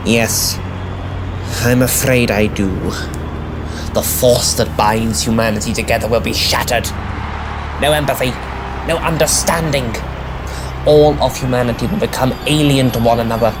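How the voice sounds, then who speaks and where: A man playing a grave, authoritative time-travelling hero in an audio drama, measured in manner, with music behind. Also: mid-range voice, fairly even intonation, noisy background, natural voice. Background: dramatic incidental music.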